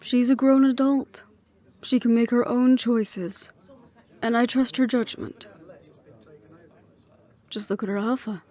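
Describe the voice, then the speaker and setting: A woman playing a composed, dutiful middle-aged leader, speaking in a measured, reflective way in an audio roleplay. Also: fairly high pitch, steady tone. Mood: fond, proud. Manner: measured, reflective, quietly firm.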